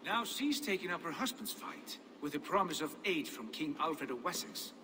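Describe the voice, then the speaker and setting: An actor playing a proud, confident Viking chieftain in a dramatic game cutscene, speaking in a grand, declamatory way. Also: male voice, on the high side, sing-song, moderate pace. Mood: assured. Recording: reverberant, with clear background noise.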